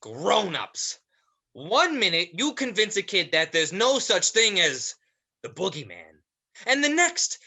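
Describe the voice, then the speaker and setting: Someone acting a taunting theatrical demon, slow n swoopy, bright voice, fake polite, stage play reading. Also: medium pitch, heavily acted. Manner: mock-polite, drawn-out, taunting. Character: smooth, menacing, theatrical demon Mister Woozle, mocking and ominous.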